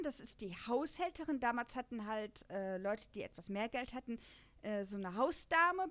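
A woman's voice: a little high, a bit bright. Chatty young woman podcast host. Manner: chatty, many fillers, laughs between points. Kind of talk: casual podcast chat about an audio drama.

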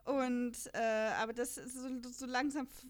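A woman from the Lower Rhine region of Germany chatting casually as a podcast co-host, rambling and self-deprecating. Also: steady tone, moderate pace, clean tone. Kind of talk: casual chat about an audio drama.

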